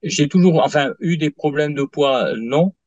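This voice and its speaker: A man in his late fifties giving a halting testimonial in an interview, full of fillers and restarts. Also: a little high, darkish tone, no room sound. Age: 50s. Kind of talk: hesitant interview answers. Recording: dull lo-fi dry sound.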